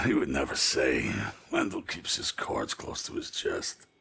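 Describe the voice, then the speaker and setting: An actor playing a cagey, foul-mouthed male criminal in a video game, muttering in halting phrases. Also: extremely low pitch, huge pitch swings, vocal fry, pushed and bright, husky, full character voice. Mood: evasive, wry.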